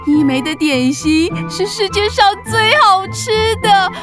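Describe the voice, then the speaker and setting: Teasing, doting witch aunt for children's radio play: high, very animated, exuberant. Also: slow, music in the background, heavily acted. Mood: excited. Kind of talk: children's audio drama acting.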